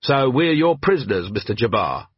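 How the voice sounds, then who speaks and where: A man's voice: medium pitch, sing-song, moderate pace, slightly rough, no room sound, lo-fi sound. A man voicing a weary, sarcastic middle-aged police commander with a dry, sardonic delivery.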